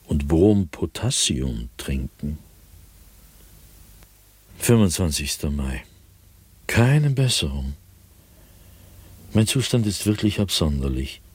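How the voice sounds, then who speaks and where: Radio play lead: an anguished, haunted writer, husky, slow and brooding, with widely swinging pitch. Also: male voice, darkish tone, dry recording, exaggerated voice. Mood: anxious, oppressed. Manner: brooding, deliberate, dramatic pauses. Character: anguished male writer haunted by an invisible tormentor. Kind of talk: radio drama monologue, diary reading.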